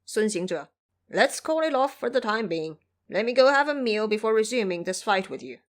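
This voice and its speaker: A woman voicing a scornful male monster king in an audio drama, speaking lower than her own voice. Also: lively intonation, moderate pace, close to an everyday voice. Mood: calm. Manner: sneering, scornful.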